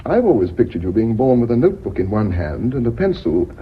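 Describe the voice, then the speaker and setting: A dull, hissy old radio recording of a British man, a lovelorn and bitter office accountant. His delivery is animated, rising and falling freely in radio play style. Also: mid-range voice, quick pace. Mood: wry. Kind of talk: British radio drama acting.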